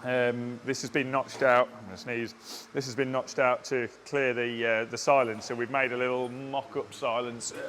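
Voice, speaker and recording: A man's voice: medium pitch, fairly bright tone, a little hiss or hum. A man giving a casual walkaround update on a car build in a workshop, talking technically and restarting his sentences often. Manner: halting, restarts often, plain technical talk.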